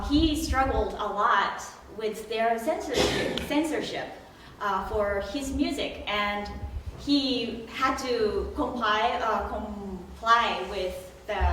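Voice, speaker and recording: A Japanese-accented woman speaks thoughtfully to a live audience, pausing often on "um" and "uh". Her voice is fairly high. She is picked up from afar in a very echoey concert hall with faint background noise. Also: fairly bright tone, distant mic. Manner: thoughtful, hesitant with frequent "um" and "uh". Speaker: pianist. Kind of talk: casual spoken explanation to a live audience. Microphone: distant room mic.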